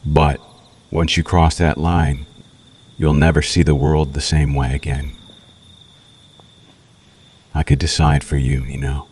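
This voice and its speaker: Male actor as a vampire, extremely low voice, unhurried, menacing, seductive, brooding, dramatic pauses, ASMR roleplay. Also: level tone, darkish tone, full character voice. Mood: ominous, tempting. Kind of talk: slow ASMR roleplay monologue.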